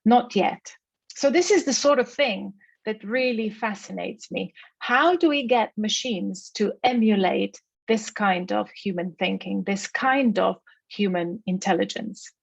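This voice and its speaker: A woman's voice: medium pitch, measured pace, gravelly, slightly rough, dry recording. A woman, a native Slovenian speaker with a Slovenian accent, gives an academic keynote lecture in an explanatory manner, posing rhetorical questions.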